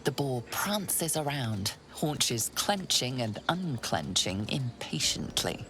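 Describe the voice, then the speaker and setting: Game narrator, very low pitch, slow, deliberate storytelling, music underneath. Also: female voice, big pitch swings, full character voice. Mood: wry, measured. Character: measured storyteller woman narrating animals' actions. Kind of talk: dramatic scripted game narration.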